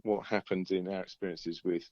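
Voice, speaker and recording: A man's voice: sits low, level tone, normal speed. An easygoing man chats casually as an interviewed band musician, rambling and often hesitating over his words. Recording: dull lo-fi call audio.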